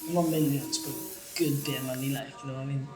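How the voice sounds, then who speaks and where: A woman's voice: a bass voice, fairly even intonation, fast talker. A woman asking questions and arguing casually in conversation. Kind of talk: casual debate. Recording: roomy, distant, music underneath.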